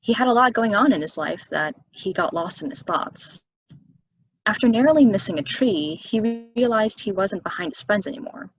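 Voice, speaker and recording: A woman's voice: medium pitch, lively intonation, normal speed, slightly rough, poor audio. An actress playing a level-headed, skeptical woman with a dry, conversational delivery, in a table reading of a stage play. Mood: storytelling.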